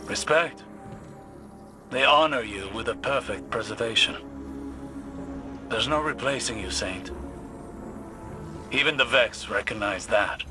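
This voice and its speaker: An actor playing a measured, intense scholar-mentor in a video game, speaking with precise authority. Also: male voice, medium pitch, music in the background, lightly acted. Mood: respectful. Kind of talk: dramatic video game acting.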